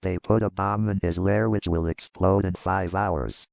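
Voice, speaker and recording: A man voicing a gruff, crude male computer virus announcer with a gravelly voice. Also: sits low, fairly even intonation, measured pace, a little mellow, no room sound, heavily acted. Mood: mocking. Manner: crude and mocking. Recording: dull lo-fi sound.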